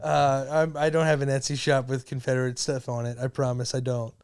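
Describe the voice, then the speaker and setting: A man's voice: medium pitch, flat delivery, a little fast, darkish tone, clean tone. A man co-hosting a comedy podcast, sheepishly defending himself while being teased in casual chat. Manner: defensive, sheepish under teasing. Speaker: podcast co-host.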